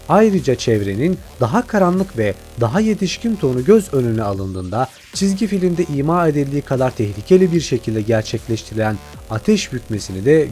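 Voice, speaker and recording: A man's voice: mid-range voice, warm tone. A man delivering scripted video essay narration as a channel host.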